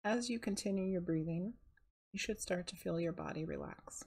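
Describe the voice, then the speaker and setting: A woman leading a guided meditation in a calm, soothing, unhurried manner, with a soft, breathy voice. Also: fairly low pitch.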